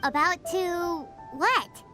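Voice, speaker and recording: Squeaky, very high little fairy sidekick. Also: female voice, very slow, heavily acted. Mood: curious. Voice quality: squeaky, childlike. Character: tiny, cheeky, childlike female fairy companion, chipper. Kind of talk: video game voice acting. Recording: loud music under the voice.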